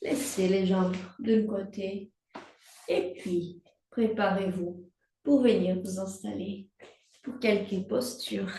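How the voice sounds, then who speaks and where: Young female yoga instructor, unhurried soothing cueing; distant mic in a roomy space. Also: medium pitch, slight background noise. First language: Portuguese. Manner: slow, calm cueing with breath prompts. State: pregnant. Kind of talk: guided prenatal yoga class. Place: room at home, instructing from a mat. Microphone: laptop mic at a distance.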